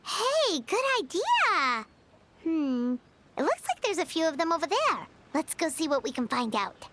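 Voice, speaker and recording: A woman voicing a small, childlike fairy companion in a video game, cheeky, chatty and expressive. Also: very high voice, unhurried, slight background noise, full character voice. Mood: eager. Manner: perky, expressive.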